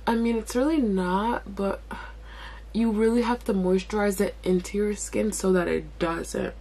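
A young woman with a raspy voice chatting casually through a skincare vlog in her bedroom, talking while she applies products. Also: mid-range voice, fairly even intonation, unhurried, warm tone. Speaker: beauty vlogger. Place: bedroom at home.

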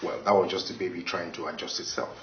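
A reassuring Nigerian doctor in a TV drama. His voice is very low and unhurried in temper. The sound is dull and echoes around the room. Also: fairly even intonation. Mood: calm, reassuring. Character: calm, reassuring male doctor. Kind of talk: acted TV drama dialogue. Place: small indoor consulting room. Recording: muffled, roomy, slightly noisy, distant mic.

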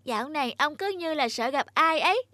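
A woman's voice: high voice, lively intonation, fairly quick, voice pushed up. A woman voicing a sweet, eager, polite little grandchild in a cartoon. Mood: puzzled. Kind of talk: cartoon child acting.

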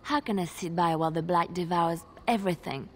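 A woman's voice: on the low side, fairly quick, a little hiss or hum, lightly acted. Earnest, devout young woman played by an actress. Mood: earnest, fervent. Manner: hushed, earnest, dramatic storytelling delivery. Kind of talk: fantasy video game dialogue acting.

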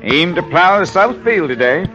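A man's voice: sits high, expressive, moderate pace, over music. A man playing a stubborn, grumpy Massachusetts farmer in a 1940s radio drama, talking in a folksy, terse grumble. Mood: grumbling, reluctant. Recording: dull vintage 1940s radio recording.

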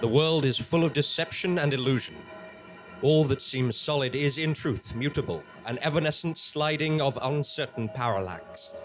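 A man playing a solemn, ominous storyteller, narrating in a grave, measured, portentous way. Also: medium pitch, over music, natural voice, poor audio. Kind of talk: scripted narration. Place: small theatre stage before an audience. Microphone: stand microphone.

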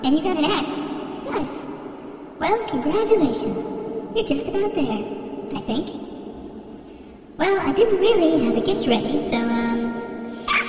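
A woman's voice: very high voice, lively intonation, a little slow, long pauses, a little mellow. A woman voicing a cheerful ghostly girl who hands out gifts, speaking in a sweet, airy, offhand way. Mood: airy, friendly. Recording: echoey hall, far from mic, game music.

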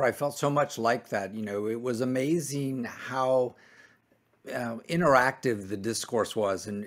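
Middle-aged male interviewer, mid-pitched. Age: 50s. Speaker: interviewer, physician. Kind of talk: conversational interview. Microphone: desk microphone close in front, video call.

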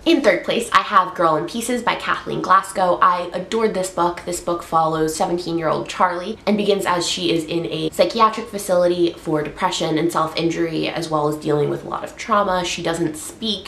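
A young woman with a low, slightly gravelly voice, congested from a cold, gushes about books on her vlog. She chats casually with plenty of fillers. The room around her sounds echoey. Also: fairly bright tone. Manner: chatty, upbeat, frequent fillers. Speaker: book vlogger. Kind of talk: casual book review vlog. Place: bedroom, indoors.

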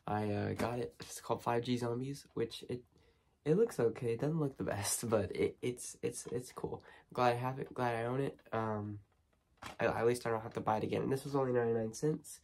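A teenage boy talks loosely and unscripted, full of ums and false starts. His voice is hoarse from a cold and has a gravelly, creaky edge. A faint noise sits under the recording, made in a small bedroom close to the camera. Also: mid-range voice, a little mellow. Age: teens. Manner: rambling, lots of ums and restarts. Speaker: horror movie vlogger. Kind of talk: casual unboxing chat. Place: small bedroom studio with shelves.

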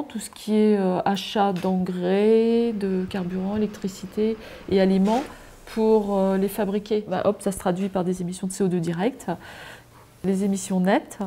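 Woman farm adviser going over numbers at a kitchen table, mellow voice, lots of "euh". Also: medium pitch, a little hiss or hum. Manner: methodical, explanatory, many fillers. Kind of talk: explaining audit results.

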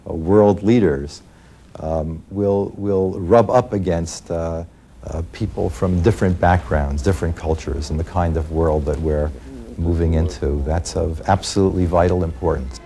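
A middle-aged man speaking for a university in an interview, halting with many filler pauses. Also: deep voice, fairly even intonation, gravelly, warm tone, slight background noise.

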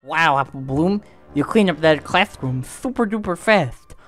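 A man's voice: on the high side, lively intonation, measured pace, music in the background. A man voicing a gushing, eager, nerdy young schoolgirl filly in a parody cartoon dub.

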